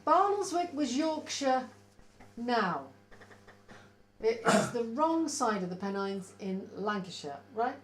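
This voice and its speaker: A Welsh-accented woman teases and jokes in a dry, playful way during a casual chat. Her voice is a little high, and the room gives it a roomy echo. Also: animated, slow. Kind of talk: informal livestream chat.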